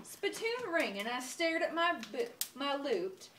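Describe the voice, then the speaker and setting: A woman's voice: sits high, sing-song, measured pace. A woman reading a rhyming cowboy Christmas tale aloud in a folksy, amused storytelling manner, as an amateur reader. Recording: roomy, distant mic, noisy home recording.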